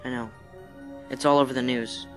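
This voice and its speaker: A woman's voice: very deep voice, steady tone, moderate pace, faint music behind. A woman playing a troubled, defensive schoolboy with a sullen manner in an audio drama.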